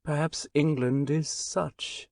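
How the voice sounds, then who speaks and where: A man voicing a refined, pale young foreign stranger who speaks in a sweet, clear voice with earnest, solemn gravity. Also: fairly high pitch, fairly even intonation, takes it slowly, a little mellow, dry recording, clean studio sound, pitched above the performer's own voice.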